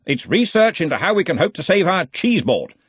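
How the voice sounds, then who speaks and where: Man acting bluff wizard chancellor, blustery, commanding, cheerful, lively raised pitch, storybook character. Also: fairly quick, husky, no echo, exaggerated voice. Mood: cheerfully forceful. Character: brash, booming, cheerful wizard arch-chancellor, bluff and domineering.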